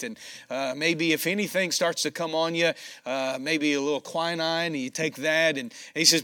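A man's voice: on the high side, fairly bright tone. A man preaching a sermon in a folksy way, with a scratchy voice. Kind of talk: sermon with scripture reading.

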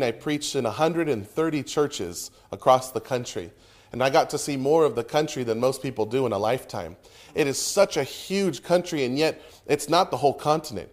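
Male preacher, mid-pitched, earnest and emphatic, pausing between points in a sermon. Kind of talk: sermon with prayer.

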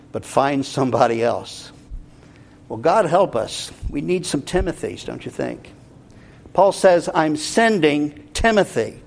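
A male pastor delivers an expository sermon in a somewhat high-pitched voice. He speaks very slowly, with deliberate pauses between short phrases. His delivery is earnest and emphatic, leaning on key words as he explains scripture. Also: darkish tone. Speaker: pastor preaching.

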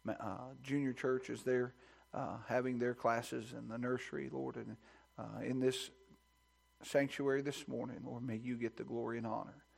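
A man pastor preaching a sermon in a church sanctuary, reading scripture and praying, at times hushed and at times rising with fervor. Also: little pitch movement, normal speed, vocal fry. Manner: builds to loud, high-pitched preaching fervor.

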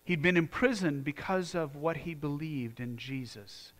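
A man's voice: fairly high pitch, a little slow, a bit bright. A man from the United States preaching a sermon in a church, earnest and conversational, often restarting his sentences. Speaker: pastor.

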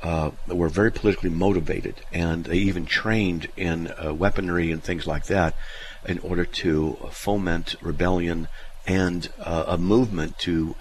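A man's voice: low-pitched, steady tone, normal speed, a little hiss or hum. A middle-aged man hosting a call-in radio show, telling stories in a folksy, self-deprecating way. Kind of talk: casual call-in radio chat.

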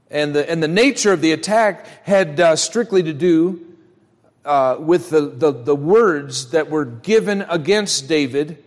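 Man preaching in a reverberant hall. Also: mid-range voice. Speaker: pastor preaching to a congregation. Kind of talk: church sermon with scripture reading and prayer. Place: church hall during a service.

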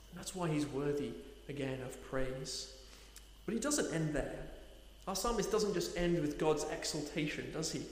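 A man preaching a sermon, earnest, asking rhetorical questions and slowing down to stress key points. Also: a little high. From Nepal. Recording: echoey hall reverb.

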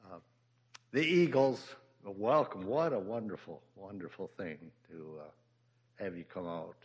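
Halting old man near ninety. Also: deep voice. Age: older, near ninety. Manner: halting, with fillers and repeated words. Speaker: church member giving a eulogy. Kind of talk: memorial tribute. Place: church memorial service.

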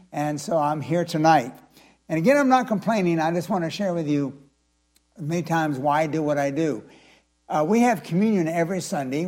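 An older man preaching an evening sermon, his voice a little raspy from strain. He talks folksy and chatty, with loose asides, then climbs into emphatic preaching. His pitch sits a touch higher than most men's. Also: darkish tone. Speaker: pastor.

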